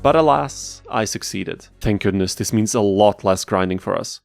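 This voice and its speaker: A man's voice: on the low side, moderate pace, vocal fry, dry recording, soft background music. A man narrating a gameplay challenge as a video host, casual and wry, with mock-exasperated asides. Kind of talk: scripted gameplay commentary.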